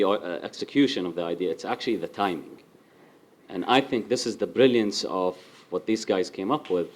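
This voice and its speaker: Low-voiced man musing from the crowd, repeats himself while thinking. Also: slight background noise. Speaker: audience member commenting. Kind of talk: spontaneous comment.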